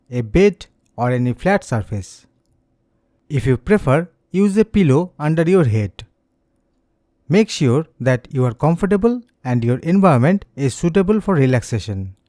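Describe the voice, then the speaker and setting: Mellow, close-miked man guiding meditation. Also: a little high, dry recording. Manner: gentle, soothing, unhurried instructions. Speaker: meditation instructor. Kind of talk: slow guided meditation, scripted narration.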